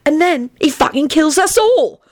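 A woman voicing a brash, vain, hot-headed young man in an audiobook story, loud and cocky. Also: sits high, wildly swooping pitch, moderate pace, no echo, clean studio sound, pitched above the performer's own voice, heavily acted. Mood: angry.

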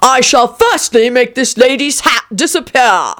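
A woman's voice: medium pitch, animated, normal speed, a bit bright, voice pushed up, close to an everyday voice. Woman playing a pompous, swooping fairy-tale king. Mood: showy. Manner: pompous, commanding. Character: vain, foolish, short-tempered male king in a fairy tale. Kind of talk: storybook character acting.

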